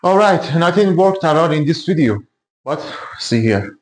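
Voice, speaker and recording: A man's voice: a little high, animated, normal speed, heavy background noise. Young man explaining an electronics project. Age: 20s. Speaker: electronics hobbyist, video host.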